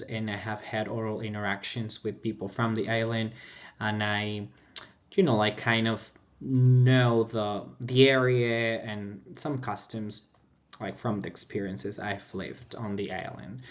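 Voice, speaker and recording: A man's voice: fairly low pitch, steady tone, slow. Hesitant Colombian man, dull lo-fi recording. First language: Spanish. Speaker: master's student presenter. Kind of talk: informal academic video presentation. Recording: dull lo-fi audio.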